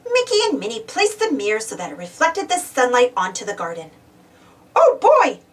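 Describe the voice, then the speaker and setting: High, very animated woman storyteller. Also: brisk. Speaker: storybook reader. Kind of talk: animated children's read-aloud narration. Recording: slightly distant mic, music bed. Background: music.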